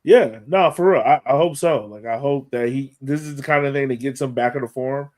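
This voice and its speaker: A man's voice: mid-range voice, fairly quick, a little mellow. An American man co-hosting a sports podcast, analyzing a match in a colloquial, emphatic way and repeating points for stress. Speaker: podcast co-host.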